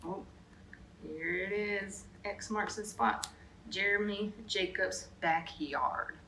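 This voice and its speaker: A woman's voice: mid-range voice, steady tone, slow pace, sharp tone, slight background noise, rough recording. A woman storyteller reading a picture book aloud expressively, slipping in brief asides to young listeners. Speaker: children's storyteller.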